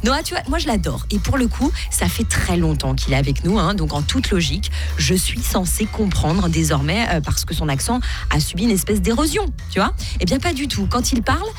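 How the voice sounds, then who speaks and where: A woman in a radio studio, chatting casually and telling little stories about herself with a self-mocking edge. Her voice sits at a middle pitch, and faint music plays quietly underneath. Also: big pitch swings, a little fast. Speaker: radio morning show co-host.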